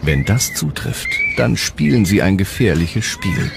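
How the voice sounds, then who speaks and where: Deep-voiced male documentary narrator. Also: sing-song, measured pace, creaky, noisy background. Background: music.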